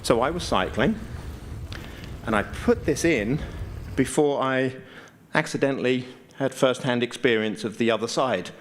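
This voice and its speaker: A man's voice: medium pitch, measured pace, a little hiss or hum. A man giving an informal conference presentation, explaining things conversationally with dry, understated humor. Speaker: conference speaker, GIS mapping consultant.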